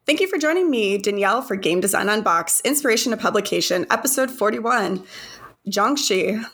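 A woman's voice: mid-range voice, lively intonation, a little fast, fairly bright tone, slight background noise. A woman hosting an interview podcast about game design, speaking in an enthusiastic, upbeat way. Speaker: podcast host.

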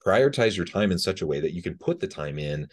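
A man's voice: sits low, expressive, quick pace. A man hosting an interview podcast in a conversational style.

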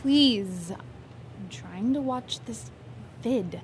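A woman's voice: fairly high pitch, lively intonation, extremely slow, noisy background. An actress playing an exasperated young girlfriend in a comedic audio drama, dry, incredulous and teasing. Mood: dry, impatient.

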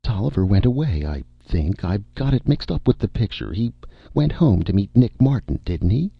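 Storybook starlet, played by a male reader: placid, slow-witted woman, pitched up, vague and unbothered. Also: big pitch swings, creaky, slightly rough, dry recording, highs cut off, full character voice. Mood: confused. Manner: vague, unruffled, dreamy. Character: vague, placid, dim-witted young female film star.

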